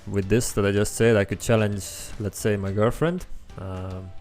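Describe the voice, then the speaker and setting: A young man with an Estonian accent, his first language Estonian, walks through a coding tutorial in a relaxed way, with frequent ums as he thinks aloud. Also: fairly low pitch, moderate pace. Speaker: app developer tutorial host. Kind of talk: screen-recorded coding tutorial. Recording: faint background music.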